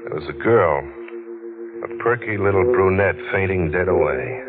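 A man's voice: low voice, expressive, normal speed. An actor playing a world-weary, hard-boiled crime newspaperman in a 1940s radio drama, terse and weary in delivery. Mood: weary, brooding. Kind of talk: 1940s radio noir acting and narration. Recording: muffled vintage radio, music behind.

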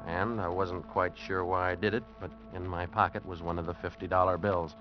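A deep-voiced American man, wary and streetwise, tells of a risky deal in hardboiled style as acted by a man. It is old radio drama, muffled, with music underneath. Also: level tone, fairly quick, close to an everyday voice. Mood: tense, brooding. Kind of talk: 1940s-50s radio drama acting, hardboiled first-person narration. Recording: dull vintage radio recording with music behind.

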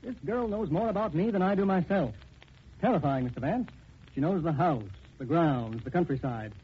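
A man's voice: fairly high pitch, lively intonation, moderate pace, slightly rough. An actor playing an anxious, indignant, well-to-do middle-aged father, agitated and emphatic, in a 1940s radio drama. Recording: dull 1940s radio recording.

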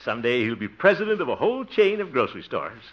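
An actor playing a blustery, hopeful older cousin in a 1950s radio sitcom, exclaiming theatrically. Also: male voice, medium pitch, big pitch swings, fast. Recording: muffled, hissy 1950s radio recording.

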